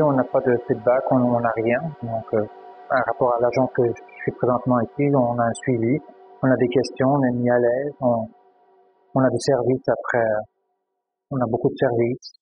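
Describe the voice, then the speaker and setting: Man from belgium, flat monotone delivery, halting restarts, dull lo-fi sound, music behind. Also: mid-range voice. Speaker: agency client. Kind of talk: testimonial interview.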